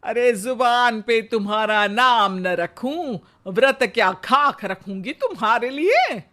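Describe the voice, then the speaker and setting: A woman playing a bossy, short-tempered, gluttonous old mother-in-law in a comic animated Hindi story, scolding theatrically. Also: a little high, slow pace, full character voice. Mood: snappy.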